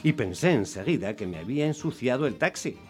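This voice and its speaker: Man playing an aggrieved, superstitious taxi driver, mid-pitched. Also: fairly quick, lightly acted. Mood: wry storytelling. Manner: animated complaining, rhetorical questions to the listener. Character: aggrieved, superstitious male Roman taxi driver telling his bad day. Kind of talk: dramatic monologue in radio theatre.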